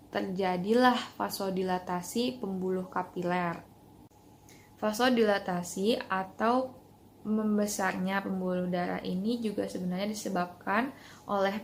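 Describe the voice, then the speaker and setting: Young woman, mid-pitched voice, student presentation, faint background noise. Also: fairly even intonation, a little slow, creaky. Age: young adult. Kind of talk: explanatory class presentation.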